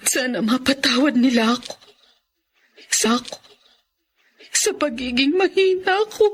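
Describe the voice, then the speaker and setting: A woman's voice: sits high, animated, unhurried, husky, full character voice. A woman playing a battered, remorseful mother, weak and in pain, in a Filipino radio drama. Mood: anguished, remorseful.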